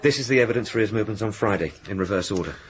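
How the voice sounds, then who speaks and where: An actor playing a diligent, deferential police sergeant in a radio drama, reporting in an earnest, matter-of-fact way. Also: male voice, lowish voice, lively intonation, racing, slight background noise, close to an everyday voice. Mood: earnest, dutiful. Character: deferential, diligent male police sergeant, junior to his boss.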